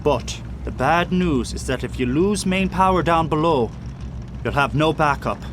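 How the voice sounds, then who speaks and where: Man acting a fussy, high-voiced ship's first mate. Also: measured pace, music in the background, close to an everyday voice. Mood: earnest briefing. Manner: formal, precise, wordy technical briefing. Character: diligent ship's first mate and diving technician, cautious, fussy. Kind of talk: audio drama acting. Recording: clear outdoor boat and engine noise.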